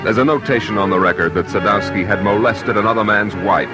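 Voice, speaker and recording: A man's voice: lowish voice, a little fast, natural voice. An actor playing a dry, official policeman in a 1940s-style radio crime drama. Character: dry official policeman reading a criminal record. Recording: old radio drama over loud music.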